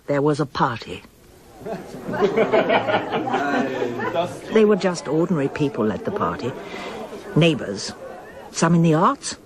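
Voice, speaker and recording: An actress playing a candid, reflective middle-aged wife in a radio drama, speaking articulately and earnestly. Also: female voice, deep voice. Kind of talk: radio drama acting, both dialogue and first-person narration.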